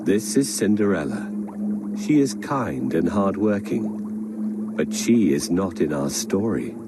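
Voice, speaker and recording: A courteous, solemn magic mirror in a children's cartoon. The voice is very deep and measured, delivering slow, formal pronouncements over very noisy ambience. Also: male voice, big pitch swings. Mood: explanatory. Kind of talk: children's animated fairy tale acting. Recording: loud background ambience and noise.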